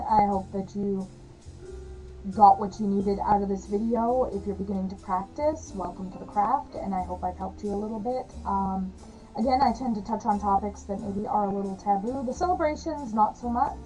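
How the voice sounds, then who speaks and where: A woman talking casually to camera as a witchcraft vlogger, chatty and rambling with frequent fillers and soft laughs, her voice slightly hoarse and gravelly. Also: mid-range voice, moderate pace, faint music behind, low-quality recording.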